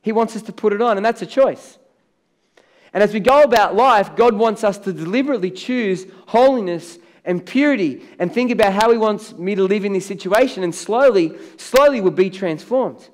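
A middle-aged Australian man preaches from a large church stage, his voice sitting a little high for a man. He talks in a conversational way, then presses up hard on the words he wants to land. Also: moderate pace. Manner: conversational preaching, rising to emphatic on key words. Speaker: pastor. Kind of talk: sermon.

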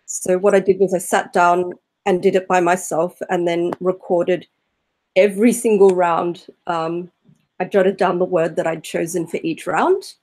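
A young woman with a fairly low voice talks slowly and unhurriedly about her poetry. She pauses often and fills the gaps with small hesitations, speaking into a laptop webcam from a room at home. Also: a little mellow. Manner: unhurried, hesitant, many fillers. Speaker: poet, panel guest. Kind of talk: casual video-call talk about her work. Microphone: laptop webcam on a video call.

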